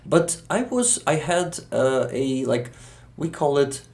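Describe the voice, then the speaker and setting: A Ukrainian man speaking English with a Ukrainian accent, talking as a guest in a casual interview, halting with many fillers. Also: medium pitch, sing-song, creaky, darkish tone.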